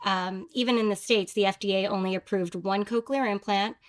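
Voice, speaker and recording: A woman's voice: medium pitch, fairly even intonation, fairly quick. Confident woman clinician explaining plainly. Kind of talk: explanatory interview talk.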